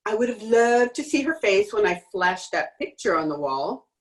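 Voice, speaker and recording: A woman's voice: mid-range voice, expressive, normal speed, natural voice. A woman playing a tough, defiant swindler in an old-time radio crime drama, slangy, sneering and cocky. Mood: defiant, gloating. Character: brassy, defiant female swindler, tough and mocking. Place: home recording over video call.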